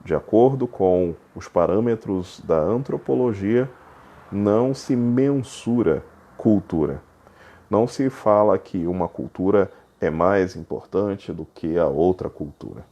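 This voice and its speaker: Low mellow man giving a lecture. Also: measured pace, highs cut off. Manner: explanatory, repeats points with "então" and "tá?". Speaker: teacher. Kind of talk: recorded lecture.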